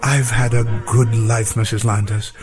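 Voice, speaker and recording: An actor playing an elderly professor, formal, gentle and reflective, in a radio drama. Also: male voice, on the low side, little pitch movement, normal speed, lightly acted. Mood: wistful, content. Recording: faint music behind the voice.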